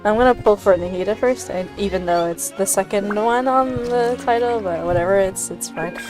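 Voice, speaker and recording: A woman game streamer chatting casually in a halting, giggly, playfully dramatic way. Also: medium pitch, over music. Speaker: game livestreamer. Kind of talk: casual live gaming chat.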